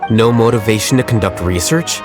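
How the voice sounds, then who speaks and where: A man voicing a calm, blunt young male scholar in a game, speaking in a measured, plain way. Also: on the low side, music in the background, natural voice. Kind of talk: game voice acting.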